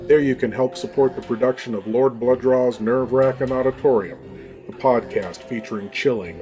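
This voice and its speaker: A man hosting a horror show with theatrical, ominous scripted narration and deliberate dramatic pauses. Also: mid-range voice, dark tone. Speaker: horror podcast host. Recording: long hall reverb, faint music behind.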